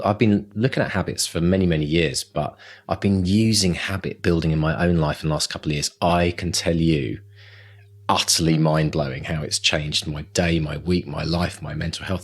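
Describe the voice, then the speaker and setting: A man chatting casually as a podcast co-host and coach, effusive, enthusiastic and encouraging. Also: deep voice. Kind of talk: casual chat.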